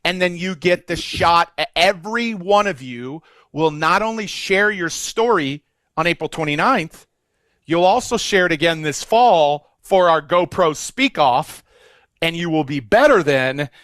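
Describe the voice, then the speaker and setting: A man's voice: a little high, lively intonation, unhurried, a bit bright, dry recording. A man hosting a webinar, upbeat and salesy as he runs through the details of an offer. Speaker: webinar host. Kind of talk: sales pitch.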